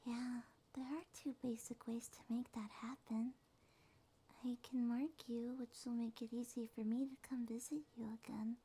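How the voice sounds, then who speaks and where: A woman voicing a small, affectionate succubus monster girl in a soft, soothing, sleepy ASMR roleplay. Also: a little high, full character voice. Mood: sleepy, tender. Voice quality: soft, breathy, often whispered. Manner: slow, tender, soothing.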